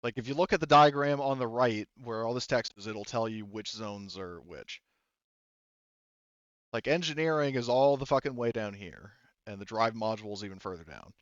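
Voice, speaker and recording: A man's voice: mid-range voice, expressive, normal speed, dry recording, dull audio. A man working as game master, narrating a tabletop roleplaying game casually and often backtracking mid-sentence.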